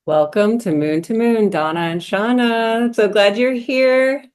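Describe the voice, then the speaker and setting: A woman hosting a podcast interview, with a gentle and affectionate manner, speaking over a remote call. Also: on the high side, unhurried, clear, resonant tone, faint music behind. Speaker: podcast host and astrology teacher.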